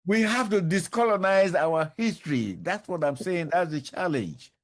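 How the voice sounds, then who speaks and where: A man's voice: high-pitched, animated, normal speed. An older man answering interview questions, emphatic and repeating his key points. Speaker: professor, interview guest.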